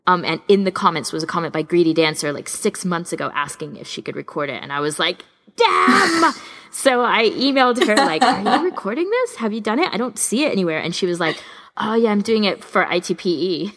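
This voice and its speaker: A woman's voice: medium pitch, lively intonation, a little fast, a bit bright. A woman co-hosting a casual podcast, chatting enthusiastically like a keen fan. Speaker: podcast co-host.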